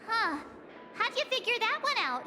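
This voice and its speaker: An actress playing an excitable, skeptical teenage girl adventurer in an anime-style game, expressive and exclamatory. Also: very high-pitched, moderate pace, full character voice. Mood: puzzled, doubtful. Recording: loud music behind. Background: game music.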